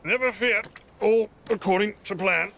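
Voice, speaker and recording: Brief: a cocky pirate rogue, slurred and bright-toned. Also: male voice, high-pitched, moderate pace, gravelly. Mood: wry.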